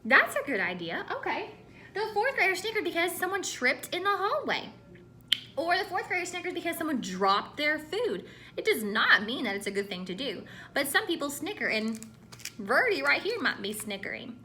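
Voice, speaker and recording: A woman schoolteacher with a high, bright voice leads a read-aloud lesson for children. Her delivery swings up and down with lots of animation as she instructs and asks questions. There is some low rumbling noise in the recording. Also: very animated, normal speed. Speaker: elementary school teacher.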